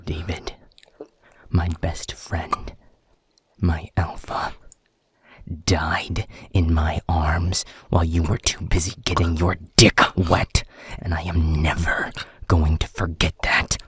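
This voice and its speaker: Man acting a gravelly, whispery, menacing werewolf alpha. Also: low-pitched, lively intonation, slow pace, pauses often, no echo, heavily acted. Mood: menacing fury. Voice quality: gravelly, breathy growl. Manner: threatening, profane, commanding. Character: bitter, menacing male werewolf pack alpha, grieving. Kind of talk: audio roleplay drama acting.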